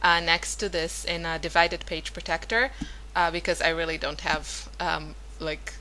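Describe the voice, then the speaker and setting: Woman, 30s, native Hebrew speaker; casual rambling crafting narration with a bright, slightly low voice. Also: level tone, moderate pace, a little hiss or hum, low-quality recording. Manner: chatty, rambling, many fillers and self-corrections. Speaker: scrapbooking vlogger.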